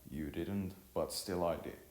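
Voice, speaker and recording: A man's voice: deep voice, unhurried, a little mellow. A man voicing an earnest, modest boy in an amateur story, speaking in a polite, formal, hesitant way. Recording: roomy echo with music behind.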